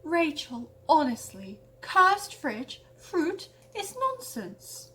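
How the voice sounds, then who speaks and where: A woman's voice: high voice, wildly swooping pitch, very slow, sharp tone, a little hiss or hum, pitched above the performer's own voice, exaggerated voice. A woman voicing a brave, sceptical, sarcastic young girl in a dramatic story reading. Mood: exasperated.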